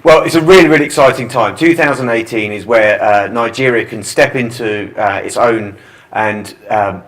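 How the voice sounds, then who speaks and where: A man's voice: fairly low pitch, moderate pace, fairly bright tone. A man giving an upbeat wrap-up on boxing as a studio guest, with some hesitation.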